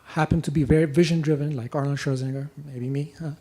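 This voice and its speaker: A young man from Ethiopia asking a long question at a lecture, halting and full of restarts. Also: fairly high pitch, steady tone, warm tone. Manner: halting, many fillers and restarts. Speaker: audience questioner. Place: lecture hall.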